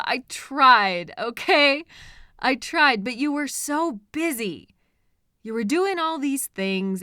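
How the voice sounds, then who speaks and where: A woman playing an obsessive, possessive kidnapper in an intimate roleplay audio drama, coaxing and patient with a condescending edge and hesitant pauses. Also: a little high, bright, forceful tone, no room sound, studio quality, exaggerated voice. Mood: pleading, insistent. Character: obsessive, possessive female kidnapper, soothing and delusional.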